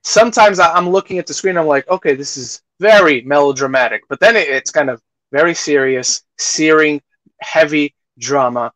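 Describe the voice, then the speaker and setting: A man hosting a podcast, chatting casually through film reviews, haltingly repeating phrases and acting out imagined reactions. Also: on the high side, fairly bright tone, no room sound, rough recording. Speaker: podcast host reviewing films.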